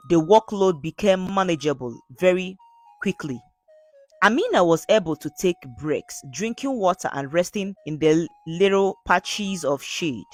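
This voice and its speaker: Woman storyteller with lively, swooping intonation. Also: lowish voice. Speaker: storyteller narrator. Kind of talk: scripted folktale narration.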